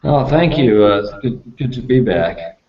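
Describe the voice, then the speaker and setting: A man's voice: mid-range voice, expressive, measured pace, slightly rough. A man speaking as a guest on an online panel, earnest and full of fillers. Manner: earnest, with frequent "you know" and fillers. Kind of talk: casual panel discussion. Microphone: video call. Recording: slightly muffled video-call audio.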